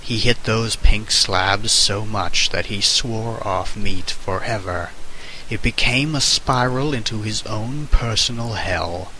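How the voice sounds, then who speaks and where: A man with a fairly low, bright voice reads a comic essay aloud as mock-serious history. His delivery is theatrical and scripted, like an amateur dramatic reading. A faint hiss sits on the homemade recording. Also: slow pace, poor audio. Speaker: amateur reader. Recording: hissy amateur microphone recording.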